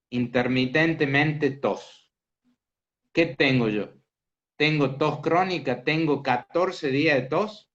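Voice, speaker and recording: A man teaching a medical class, explaining slowly and asking students questions. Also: medium pitch. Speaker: medical lecturer. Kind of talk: interactive lecture. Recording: dull lo-fi class recording.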